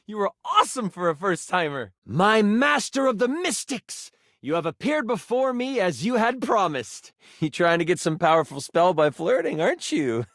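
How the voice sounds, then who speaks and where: Game master for a video game: higher-pitched, very animated, eager and geeky, dramatic fantasy narration. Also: male voice, no room sound, clean studio sound, full character voice. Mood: excited, then playful, encouraging. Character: excitable, encouraging male tabletop game master, a personified die. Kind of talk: dramatic tabletop fantasy narration with playful asides.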